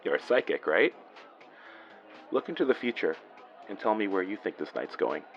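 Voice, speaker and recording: A man's voice: lowish voice, very animated, moderate pace, gravelly, breathy edge, highs cut off, soft background music, heavily acted. An actor playing a flirty, easygoing male police officer in a naturalistic audio drama. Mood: flirty, teasing. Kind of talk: naturalistic horror fiction podcast acting.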